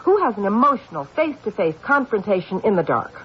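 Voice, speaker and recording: An actor playing a stubborn, intuitive police detective in an old-time radio mystery, insistent and deliberate. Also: male voice, sits high, big pitch swings, slightly rough, heavily acted. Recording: dull, hissy old radio recording.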